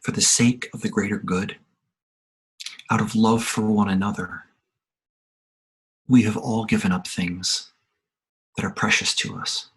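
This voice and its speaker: Low, husky man leading a guided meditation. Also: steady tone. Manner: slow, quiet, soothing, with long pauses. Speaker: meditation leader, religious organization secretary. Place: remote video call. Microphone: video call.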